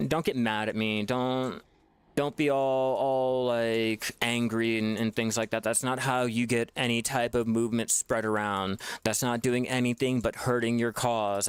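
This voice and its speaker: A man's voice: mid-range voice, smooth, no room sound. A man making gaming videos, ranting in a frustrated way with lots of swearing and restarts. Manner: frustrated, profane, repetitive restarts. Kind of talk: ranting gameplay commentary.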